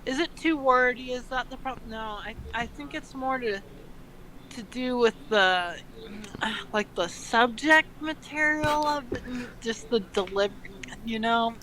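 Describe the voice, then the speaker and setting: A woman with a slightly high voice reads aloud in a comic, mock-earnest way. She hesitates and breaks off into slangy commentary, and the volume of the recording is uneven. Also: a little slow, bright, forceful tone, slight background noise, low-quality recording. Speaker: co-host reading fan fiction aloud. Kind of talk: comedic dramatic reading with joking commentary.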